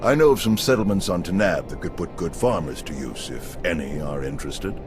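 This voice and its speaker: Game general: deep, expressive, gentlemanly officer, formal and composed, over strong background music. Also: male voice, a little fast. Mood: courteous, assured. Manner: formal, courteous. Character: courteous, genial Republic general, seasoned and composed. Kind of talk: scripted video game acting.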